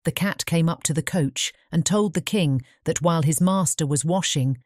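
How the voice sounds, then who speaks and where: A woman's voice: deep voice, normal speed, darkish tone, dry recording, studio quality. A woman with a British English accent reading scripted fairy-tale narration.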